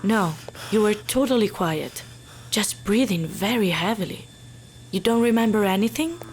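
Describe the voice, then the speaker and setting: An actress playing a composed, inquisitive research scientist in an audio drama, precise and clinical. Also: female voice, medium pitch, animated, moderate pace, a little mellow, faint music behind, natural voice. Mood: concerned. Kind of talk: naturalistic audio drama acting.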